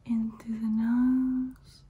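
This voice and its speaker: A young woman voicing a soothing, hypnotic ancient female vampire in a breathy ASMR whisper, close to the mic. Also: a little high, little pitch movement, phone-quality sound, exaggerated voice. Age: young adult. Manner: slow, soothing, hypnotic, repetitive. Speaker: ASMR performer. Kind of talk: ASMR personal-attention roleplay. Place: small room indoors.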